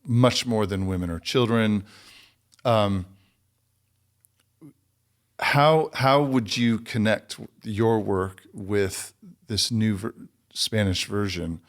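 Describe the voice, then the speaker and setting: A man's voice: lowish voice, slow pace, pauses often. A man hosting an interview, asking long questions while searching for words. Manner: hesitant, searching for words with ums. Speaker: podcast host.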